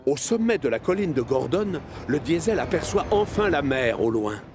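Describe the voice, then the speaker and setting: A man narrating a scripted children's story as an expressive storyteller. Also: a little high, moderate pace. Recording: music behind narration. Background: music.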